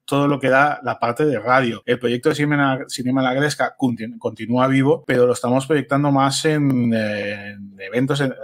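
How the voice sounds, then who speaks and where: A man's voice: medium pitch, steady tone, normal speed, hardly any pauses. A man with an accent from Spain talking casually as a podcast guest, telling things informally and repeating phrases as he goes. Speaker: interview guest, amateur filmmaker and former radio show co-host.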